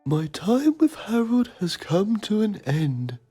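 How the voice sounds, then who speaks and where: A man playing a self-important TV journalist, narrating a mock documentary in a solemn, pompous deadpan. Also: sits high, takes it slowly, breathy edge, soft background music, full character voice.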